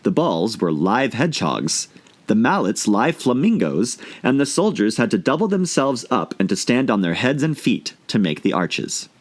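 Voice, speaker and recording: A man's voice: mid-range voice, very animated, moderate pace. A man reading a children's storybook aloud as scripted audiobook narration. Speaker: audiobook reader.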